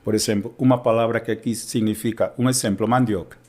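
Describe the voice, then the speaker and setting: A man's voice: fairly low pitch, fairly even intonation, fast, a little mellow. A Spanish teacher from Peru who speaks Portuguese with a Peruvian Spanish accent, explaining in a casual educational chat and often checking in with his listener.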